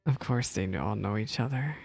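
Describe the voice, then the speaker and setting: A woman's voice: a bass voice, moderate pace, vocal fry, a little mellow, husky. A woman giving casual, hesitant reaction commentary as the host of a gaming video.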